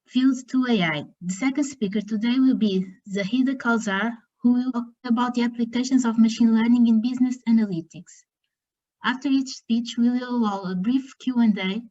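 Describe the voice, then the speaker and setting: A woman's voice: a little high, a little mellow, dull audio. Woman host opening an online session. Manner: smooth and organized. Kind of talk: scripted welcome and introduction. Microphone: video call.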